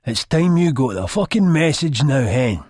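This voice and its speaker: A man's voice: medium pitch, unhurried, husky, no room sound, studio quality, voice pushed up. A man playing a foul-mouthed young Scottish thug in an audiobook, with a snapping, bullying, sneering manner. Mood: cocky.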